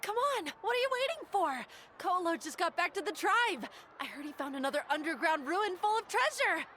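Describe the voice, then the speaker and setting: A woman's voice: very high voice, very animated, brisk, bright, forceful tone, slight background noise, exaggerated voice. A woman voicing an eager, gossipy village onlooker in a video game. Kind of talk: video game character acting. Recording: faint music behind the voice.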